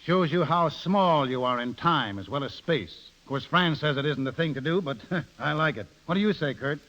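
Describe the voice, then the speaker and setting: An actor playing a plainspoken middle-aged American auto magnate, folksy, earnest and a little hesitant, in 1930s radio drama style. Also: male voice, fairly high pitch, animated, normal speed, a bit bright. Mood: chatty, enthusiastic. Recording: dull 1930s radio broadcast recording.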